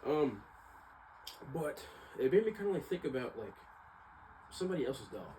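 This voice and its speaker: A man's voice: mid-range voice, very animated, moderate pace, dark tone, poor audio. A man talking casually to viewers at home in a rambling, slangy, hesitant and reflective personal monologue. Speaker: vlogger. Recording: clearly audible background noise.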